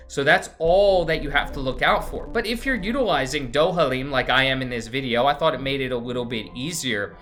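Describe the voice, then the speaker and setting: A man with a bright, forceful voice, pitched a little high, narrates a video game guide. He explains tactics emphatically and circles back to repeat key points. Music plays faintly behind him. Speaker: gaming guide host. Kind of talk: video game commentary and tips.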